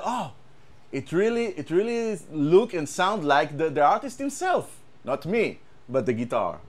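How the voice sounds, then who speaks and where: A man with an Israeli accent, a guitar tech, talking casually and haltingly, repeating words and using many fillers. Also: a little high. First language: Hebrew. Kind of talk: casual show-and-tell chat.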